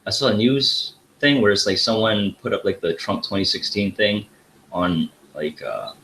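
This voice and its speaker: Young man with a very deep voice. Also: normal speed, fairly bright tone, a little hiss or hum, rough recording. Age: young adult. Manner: casual, laid-back, says "like" a lot. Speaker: podcast guest. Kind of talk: casual chat.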